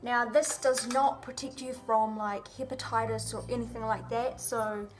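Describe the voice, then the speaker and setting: A woman explaining stick and poke tattooing in a tutorial video, slow and halting with fillers. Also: on the high side, steady tone, faint music behind.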